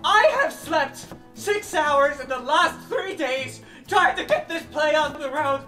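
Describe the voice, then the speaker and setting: Shrill, outraged student stage director, acted by a woman. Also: a little slow, sharp tone, echoey room, faint music behind. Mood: indignant outrage. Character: indignant, exhausted, theatrical student stage director.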